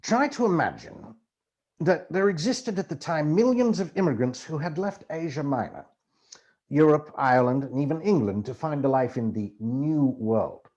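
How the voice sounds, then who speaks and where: A man reading a book aloud to camera in a theatrical, expressive way, like an amateur voice actor. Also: fairly high pitch, lo-fi sound.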